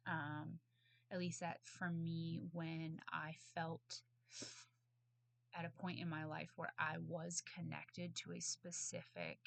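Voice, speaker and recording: A woman in her thirties recording a casual class response at home on her computer, hoarse from a cold, rambling and apologetic with many ums. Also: sits low, pushed and bright. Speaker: student. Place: at home on a bed. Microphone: computer mic.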